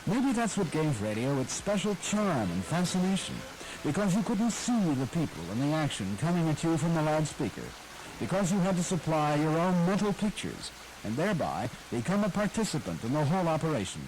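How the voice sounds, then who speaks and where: A man narrates in a rather high voice that rises and falls with real animation. His delivery is scripted and nostalgic, like a host telling a story. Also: big pitch swings, a little fast, a little mellow, a little hiss or hum, poor audio. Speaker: radio history host.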